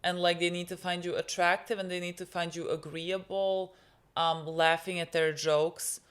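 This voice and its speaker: A woman's voice: lowish voice, flat delivery, moderate pace. A young woman whose first language is Serbian, co-hosting a TV reaction and chatting casually with many fillers and restarts. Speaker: reaction video co-host. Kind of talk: casual chat.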